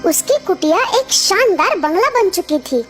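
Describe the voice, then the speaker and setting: A woman's voice: very high-pitched, very animated, normal speed, a bit bright. A woman narrating a scripted children's story. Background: music.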